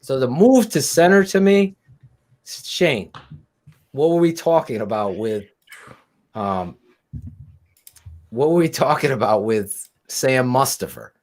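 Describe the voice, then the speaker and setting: A man's voice: mid-range voice, animated, slow pace, pauses often, darkish tone. A man co-hosting a casual sports talk show, telling stories animatedly and laughing often. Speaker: podcast co-host.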